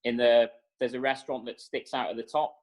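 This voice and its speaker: A man in his thirties talks over a video call with a flat, even tone. He answers at a steady pace, with many fillers and small restarts. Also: mid-range voice, fairly quick. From North Wales. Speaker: executive chef, guest. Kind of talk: interview answers on a webinar.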